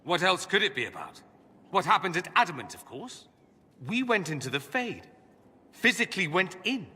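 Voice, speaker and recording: A refined young mage from a decadent empire, bright-voiced and sardonic. His delivery swings widely in pitch, theatrical and learned, as game cutscene acting. It rings in a long hall reverb. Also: big pitch swings, normal speed, breathy edge, full character voice. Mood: grave, agitated. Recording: reverberant hall with background ambience.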